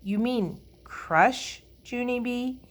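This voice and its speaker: A woman's voice: on the high side, extremely slow, voice pushed up. A woman voicing a puzzled little schoolboy in a storybook, correcting others in a hesitant, questioning way.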